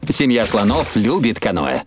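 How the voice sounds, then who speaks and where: A man's voice: medium pitch, very animated, moderate pace, a little hiss or hum, lightly acted. A man acting as a friendly storybook narrator, reading scripted narration for a children's cartoon. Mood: informative.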